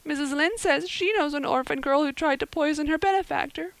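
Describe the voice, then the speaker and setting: A woman's voice: a little high, expressive, a little fast, no room sound, pitched above the performer's own voice. A woman voicing a dramatic, talkative young orphan girl in a storybook, pleading earnestly and breathlessly. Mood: sobbing despair.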